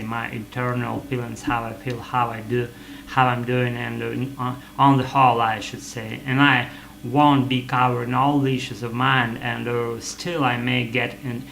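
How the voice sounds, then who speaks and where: A man whose first language is Russian, rambling in English in a vlog from his apartment, halting with many fillers and self-corrections. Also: mid-range voice, gravelly, a bit bright, poor audio. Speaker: vlogger. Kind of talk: rambling English practice monologue.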